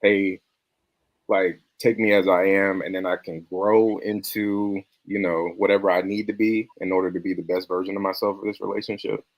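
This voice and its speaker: Deep-voiced man asking measured questions. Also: flat delivery, no echo, poor audio. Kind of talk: interviewing guests in casual chat.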